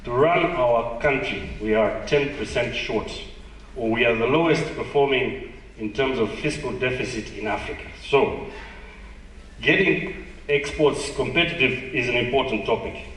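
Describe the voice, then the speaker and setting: A man's voice: mid-range voice, normal speed, a bit bright. Malawian guy giving a conference talk, sounds far from the mic, big echoey hall, light background noise. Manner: conversational, self-deprecating, asks the audience questions. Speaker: conference presenter. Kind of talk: conference presentation.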